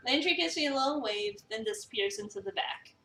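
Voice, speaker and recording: A woman's voice: a little high, animated, a little fast, bright, forceful tone, slight background noise. A woman streamer reading a visual novel aloud and chatting casually with her co-host. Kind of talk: dramatic reading of game narration mixed with casual chat. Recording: echoey room, distant mic, game music behind.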